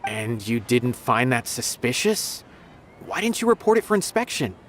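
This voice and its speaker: A man's voice: mid-range voice, big pitch swings, moderate pace, fairly bright tone, slight background noise. A man playing an earnest, loyal young male officer in a video game, speaking politely and emphatically, easily flustered. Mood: stern, questioning.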